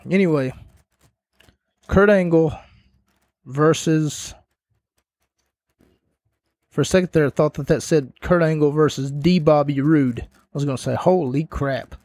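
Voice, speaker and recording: A man with a fairly high voice talks slowly through a casual review, wandering into joking asides. His voice is starting to give out a little, and the sound is dry and close. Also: a little mellow, no room sound. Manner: rambling, jokey asides. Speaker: wrestling show reviewer, host. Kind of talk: casual spoken review and recap.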